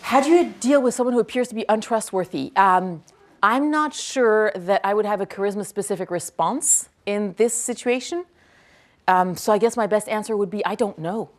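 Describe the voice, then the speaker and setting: Brief: native French speaker, woman, lively lecturer in a hall, repeats each question before answering. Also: mid-range voice. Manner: energetic, quick to praise, repeats each question aloud.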